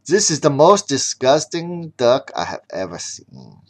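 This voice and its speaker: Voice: mid-range voice, very animated, measured pace, a little mellow. A storyteller voicing a cruel woman chef who sneers in disgust.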